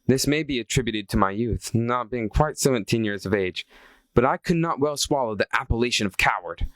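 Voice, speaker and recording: A man's voice: mid-range voice, expressive, fairly quick, a bit bright, no echo, rough recording. Man reading a memoir, dry sound. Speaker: reader of a historical account. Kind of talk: scripted reading of a first-hand account.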